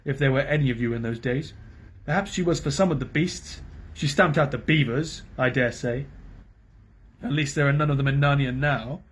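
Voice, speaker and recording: A bitter, scheming dwarf sneers and snaps in a raised, cold voice, as a man puts him on. The reading is husky and a little high. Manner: sneering, snapping.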